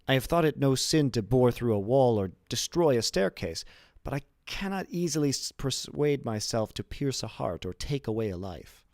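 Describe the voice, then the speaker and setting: A man voicing a learned, principled old imprisoned abbé in a deliberate, formal, lecturing manner for an audiobook. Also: medium pitch, expressive, a little mellow, no room sound, clean studio sound, voice pushed up, lightly acted. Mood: earnest, lecturing. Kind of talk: audiobook character reading.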